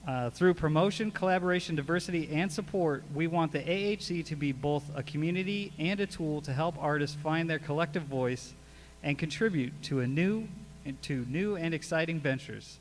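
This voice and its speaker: A man's voice: fairly high pitch, normal speed, a little hiss or hum. A man hosting a live meetup event, joking at his own expense and introducing guests on stage. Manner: self-mocking, joking about mispronouncing names. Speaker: event host. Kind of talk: casual live emcee banter.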